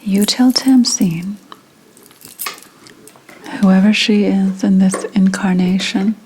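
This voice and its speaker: A woman playing a secretive, indignant 17th-century witch in a breathy whispered ASMR roleplay, speaking deliberately with dramatic pauses. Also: medium pitch, darkish tone, slight background noise, lightly acted, poor audio.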